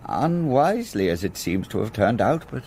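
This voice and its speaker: An actor playing an older, old-fashioned English solicitor in a radio play, speaking in a formal, guarded way with an English accent. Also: male voice, lowish voice, very animated, normal speed, gravelly, darkish tone, a little hiss or hum. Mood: disapproving.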